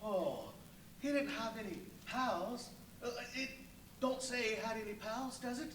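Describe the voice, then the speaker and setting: A man playing a nervous, evasive tramp, halting and stammering in a live radio play. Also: high voice, lively intonation, fairly bright tone. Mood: nervous, probing. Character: jittery, evasive tramp hiding a secret. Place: live stage performance in a brewery venue. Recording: echoey, noisy live venue, far from mic.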